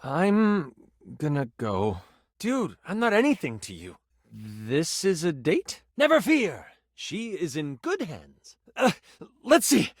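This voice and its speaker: A man's voice: a little high, wildly swooping pitch, slow pace, no echo, studio quality, heavily acted. A man voicing a nerdy, theatrical video game character who swings between mock-chivalrous flourishes and awkward casual talk. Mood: awkward, dismissive, then grandly chivalrous. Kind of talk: animated video game voice acting.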